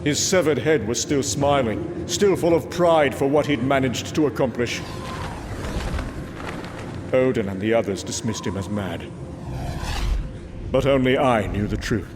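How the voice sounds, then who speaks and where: A man voicing a brooding god who narrates in the first person, solemn and measured, in a scripted comic book drama. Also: medium pitch, noisy background, natural voice. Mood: haunted, solemn. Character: brooding male god recalling a haunting horror. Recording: dramatic music behind the voice.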